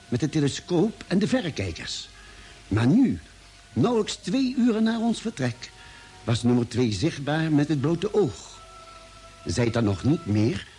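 An actor playing a thoughtful space expedition doctor in a radio drama, telling the story in a measured, dramatic way. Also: male voice, fairly high pitch, warm tone. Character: thoughtful male doctor narrating a Mars expedition. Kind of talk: radio drama narration and dialogue. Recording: old radio drama, music behind.

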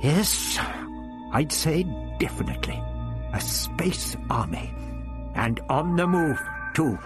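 A man's voice: fairly high pitch, sing-song, takes it slowly, music in the background, voice pushed up. A man voicing an irascible, proud elderly man who speaks sharply and declaims. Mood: musing.

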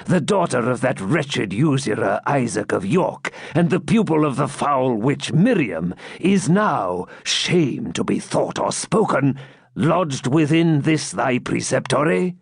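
A man's voice: mid-range voice, sing-song, gravelly, husky, no room sound, clean studio sound, voice pushed up, exaggerated voice. A man voicing a stern, thundering old Grand Master. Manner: slow, forceful, accusing delivery. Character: stern, severe elderly male Grand Master of a holy order.

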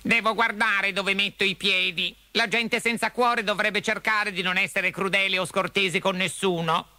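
A man voicing a sad, tender-hearted storybook tin woodman in a thin, sharp, plaintive, tearful voice. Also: high voice, fairly even intonation, normal speed, voice pushed up. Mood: tearful, remorseful.

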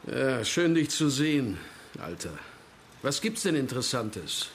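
An actor playing a friendly, matter-of-fact police colleague in a German radio crime drama. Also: male voice, mid-range voice, sing-song, measured pace, a little hiss or hum.

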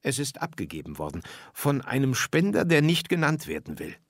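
A man's voice: medium pitch, very animated, normal speed, gravelly, no room sound, clean studio sound, voice pushed up. A man voicing a lonely, plain-spoken man from an apartment house in a storybook reading, with a questioning manner. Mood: eager, inviting.

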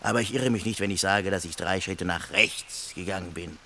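An actor playing a gruff, sarcastic vagabond who grumbles in colloquial speech in a radio play. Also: male voice, low-pitched, brisk, bright, forceful tone, a little hiss or hum, heavily acted. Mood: matter-of-fact, arguing. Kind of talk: German radio play acting.

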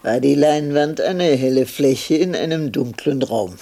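High-voiced, weary elderly carer. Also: male voice, a little slow, gravelly. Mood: reminiscing. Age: older. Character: impatient elderly carer of a bedridden partner. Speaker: radio drama actor. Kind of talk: radio drama dialogue.

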